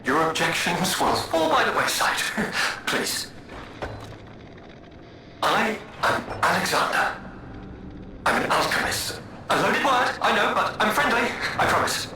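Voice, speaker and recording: An actor playing a vain, charming male alchemist in a video game, florid, flattering and theatrical as he speaks through puppets. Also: high-pitched, slow pace, long pauses, heavily acted. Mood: exasperated, pleading. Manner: florid, flattering, self-pitying and playful. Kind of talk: dramatic video game dialogue. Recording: very echoey, with loud background noise.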